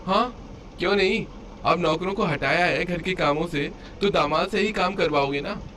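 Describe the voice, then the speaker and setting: A man's voice: sits high, normal speed, a bit bright. A man acts out a short-tempered, entitled son-in-law from a cartoon story. He complains and sneers with whining sarcasm. Noticeable noise sits behind the voice. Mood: bitter, sarcastic. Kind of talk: Hindi cartoon story dialogue. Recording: clear background noise under voice.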